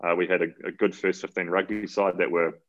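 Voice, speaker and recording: NZ male guest, very low voice, relaxed rambling, muffled audio. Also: brisk. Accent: New Zealand. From New Zealand. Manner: relaxed, rambling, repeats words. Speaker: guest, cyclist. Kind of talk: casual interview talk. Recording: lo-fi, dull audio.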